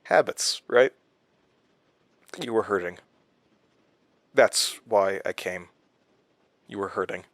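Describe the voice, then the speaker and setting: An actor playing a haunted, weary, wry man who confides his story haltingly in a horror audio drama. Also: lowish voice, dry recording. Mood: subdued, tender. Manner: hesitant, confessional, halting. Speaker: lead character and narrator of a horror audio drama. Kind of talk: horror audio drama acting, narration and intimate dialogue.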